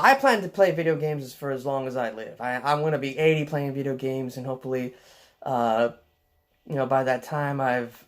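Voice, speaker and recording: A young man with a soft voice, vlogging in a casual, rambling way, full of fillers and restarts. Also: on the high side. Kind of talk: casual rambling vlog talk.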